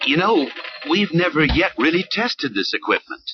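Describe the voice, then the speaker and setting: A man's voice: a little high, very animated, measured pace, voice pushed up. A man voicing a nervous but bossy ghost hunter who barks short commands and makes wry remarks. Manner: clipped commands, wry asides. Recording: dull cassette audio with hiss.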